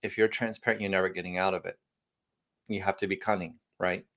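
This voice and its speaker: Very low male voice; tech-company founder in interview, loose and emphatic, many self-corrections. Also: flat delivery, quick pace, a bit bright. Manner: rambling, frequent restarts, emphatic bursts. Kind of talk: podcast interview, casual technical talk.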